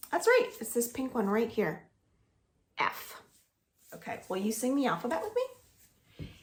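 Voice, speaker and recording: A woman reads a picture book to small children, slow and coaxing, with a lively singsong swing in her fairly high voice. A slight gravelly fry sits at the bottom of her tone. The room sounds echoey, with faint noise underneath. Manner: slow, singsong and coaxing, asks the listeners to join in. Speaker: children's librarian. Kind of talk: storytime reading to children. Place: at home.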